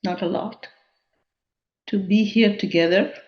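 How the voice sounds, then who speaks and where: A woman leading a guided meditation in a measured, contemplative manner, leaving long pauses between phrases. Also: mid-range voice, steady tone. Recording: dull, muffled lo-fi sound.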